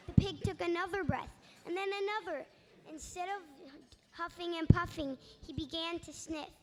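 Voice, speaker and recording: A woman reading a children's story aloud as narrator in reader's theatre, recorded in a reverberant hall. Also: very high-pitched. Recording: reverberant hall, faint background noise.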